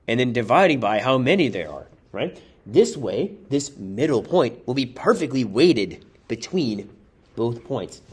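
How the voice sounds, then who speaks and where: A man teaching math in a casual, chatty way, walking through worked examples while his voice is wearing out. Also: medium pitch. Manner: casual, chatty, addresses viewers as guys. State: losing his voice. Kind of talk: worked-example exam review.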